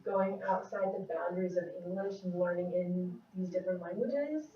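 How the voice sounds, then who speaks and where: Earnest young woman explaining, low-ish voice. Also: normal speed, a little hiss or hum. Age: young adult. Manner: earnest, explains at length, trails off. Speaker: university student. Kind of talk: casual classroom group discussion. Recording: echoey room from a distant, muffled mic.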